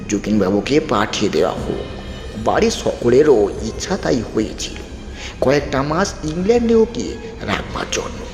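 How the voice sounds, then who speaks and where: Male horror-story narrator: theatrical, animated intonation, measured phrasing, echo-heavy production. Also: medium pitch. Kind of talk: scripted narration of a ghost story. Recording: roomy long reverb, music bed.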